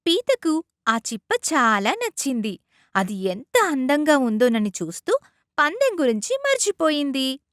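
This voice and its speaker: High-pitched, animated woman storyteller. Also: a little slow, no room sound, studio quality. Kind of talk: scripted children's moral-story narration.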